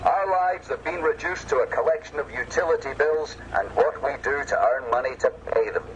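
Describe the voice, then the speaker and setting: A man's voice: fairly high pitch, a little fast. A man delivering a scripted election address in a declamatory, sloganeering way. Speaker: political campaigner. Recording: dull lo-fi audio, faint vehicle noise.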